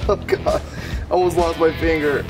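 A man's voice: fairly high pitch, fairly even intonation, moderate pace, poor audio. A man making a casual do-it-yourself vlog, swearing often and laughing at himself while he works. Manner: laughing, swearing, self-mocking. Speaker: vlogger. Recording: loud background music.

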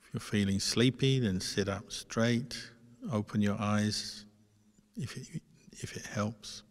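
A man leading a guided meditation as a monk, speaking gently in short phrases with long, unhurried pauses. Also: lowish voice, fairly even intonation. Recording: long hall-like reverb.

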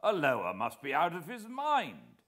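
A man's voice: a little high, wildly swooping pitch, a little slow, a bit bright, voice pushed up, exaggerated voice. A man voicing an indignant, blustering, disbelieving British general in an audiobook reading. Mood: indignant disbelief. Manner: blustering, emphatic.

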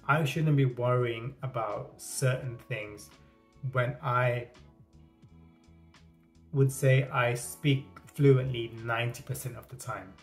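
A man in his thirties talks to the camera in a small room, stuttering through repeated words and restarts. His speech is slow and unhurried, at a middle pitch. Soft guitar music plays faintly behind him. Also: steady tone. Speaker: vlogger. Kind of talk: casual talking-to-camera vlog.

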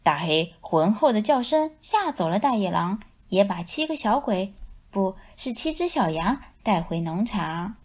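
一位女性用富有表现力的方式给孩子朗读绘本故事。. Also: female voice, mid-range voice, very animated, measured pace. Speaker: storyteller. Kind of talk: expressive children's picture book narration.

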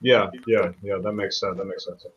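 A man asking questions on a video call, halting and repeating himself as he speaks. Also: on the low side, steady tone, a bit bright, highs cut off, slight background noise.

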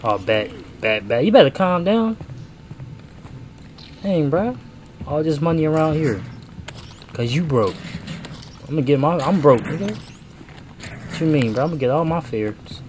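A young American man giving casual let's-play commentary, slangy and expressive, often repeating himself. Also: fairly high pitch, a little slow, pauses often, a little hiss or hum. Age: young adult. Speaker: gaming YouTuber. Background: game audio and music.

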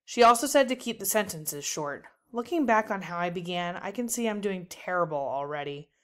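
A woman reads a children's story aloud as a storyteller. Her voice sits slightly low, with a smooth, clean tone. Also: lively intonation, moderate pace, a bit bright. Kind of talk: children's book narration.

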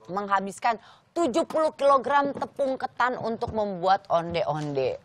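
A young adult woman co-hosting in a TV studio, chatting and reading out trivia. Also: medium pitch. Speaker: TV show co-host. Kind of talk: lively studio chat and reading out food trivia. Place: TV studio kitchen set, indoors.

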